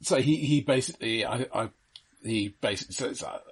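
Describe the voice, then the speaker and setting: A man's voice: medium pitch, lively intonation, a little fast, slightly rough. A man co-hosting a podcast, discussing film casually and stammering over the starts of his phrases. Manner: stammering, repeating word starts. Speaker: podcast co-host.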